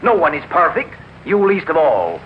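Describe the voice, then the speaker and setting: Earnest young husband, highly animated delivery. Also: male voice, normal speed. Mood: exasperated. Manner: earnest, dramatic. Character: earnest, long-suffering young English husband and son. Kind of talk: 1950s radio drama acting. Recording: dull, hissy old radio recording.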